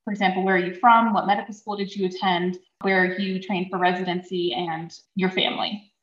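A woman's voice: lowish voice, flat delivery, fairly quick, fairly bright tone, roomy sound, lo-fi sound. A woman hosting a podcast in a polite, formal way, reading prepared introductions and interview questions.

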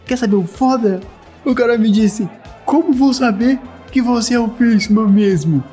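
A man's voice: very high-pitched, moderate pace, warm tone, soft background music. A man playing an arrogant, irritable, foul-mouthed shadowrunner fixer in an audio drama, confrontational and scornful.

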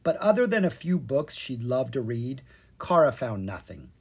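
A storyteller reading a children's storybook aloud in an expressive, animated way. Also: medium pitch, normal speed, creaky, slightly rough. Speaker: storyteller, podcast reader. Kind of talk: scripted narration of a children's storybook.

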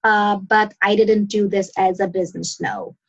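Woman from Sweden, husky voice, unhurried talk with restarts, dull lo-fi sound. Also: medium pitch, steady tone. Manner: unhurried, searching for words with fillers and restarts. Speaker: mindset and success coach, guest. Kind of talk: casual interview answers.

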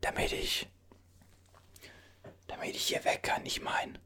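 A performer whispering as a bewildered time traveler from an older era, puzzling over strange objects in a halting voice full of restarts. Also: bright, forceful tone. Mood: puzzled. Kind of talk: whispered ASMR roleplay.

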